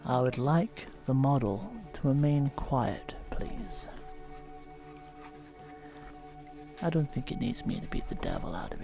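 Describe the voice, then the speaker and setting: A soft-spoken television painter gone unhinged, as a man acts him out. He whispers slowly and soothingly, his pitch rising and falling in lively swoops. Faint music plays underneath. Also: vocal fry. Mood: calm. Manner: slow, soothing, softly menacing. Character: gentle middle-aged male TV painter turned unhinged. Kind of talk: horror ASMR role-play.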